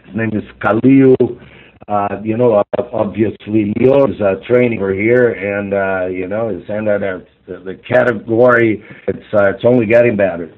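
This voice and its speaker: A man interpreting live on a phone conference call, speaking in halting, repetitive phrases padded with fillers. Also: on the low side, fairly even intonation. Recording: muffled narrow-band phone line.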